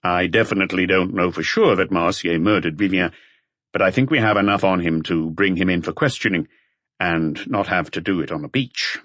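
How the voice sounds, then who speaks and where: A man voicing a calm, authoritative police chief inspector in an audiobook, terse and matter-of-fact. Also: low-pitched, fairly quick, no room sound.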